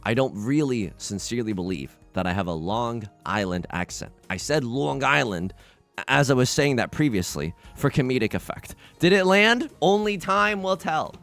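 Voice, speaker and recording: Animated man bantering over a tile game. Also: mid-range voice, moderate pace, soft background music. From United States. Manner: playful, self-mocking banter; rambling, thinks aloud with restarts. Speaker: let's play host. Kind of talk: casual gameplay commentary.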